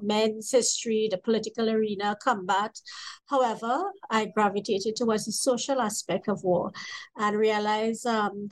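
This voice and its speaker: Trinidadian woman scholar, mid-pitched, earnest interview guest. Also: poor audio. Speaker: historian and co-editor guest. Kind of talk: academic interview.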